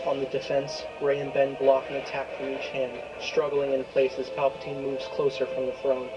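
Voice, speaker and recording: A man's voice: medium pitch, completely flat delivery, moderate pace, highs cut off. A man reading a scripted, dramatic story as its narrator. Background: prominent music.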